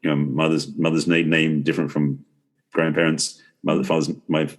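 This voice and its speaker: Very deep, husky Englishman. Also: little pitch movement, normal speed. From England. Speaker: podcast guest, relationship expert and author. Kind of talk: casual reflective conversation.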